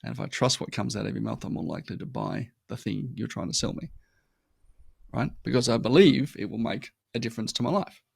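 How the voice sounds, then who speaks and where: A man with an Australian accent, a guest on a marketing podcast who talks in a lively, anecdotal way. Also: mid-range voice, brisk, vocal fry, husky, no room sound, studio quality. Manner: animated storytelling, acts out customer voices. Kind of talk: conversational interview.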